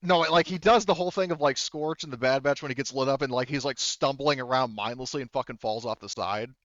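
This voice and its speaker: A man runs a tabletop roleplaying game in a loose, informal way. His voice is clear and medium in pitch, with a dry sound and very little room around it. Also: fast talker, fairly bright tone, no room sound, highs cut off. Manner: informal, swears casually. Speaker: game master.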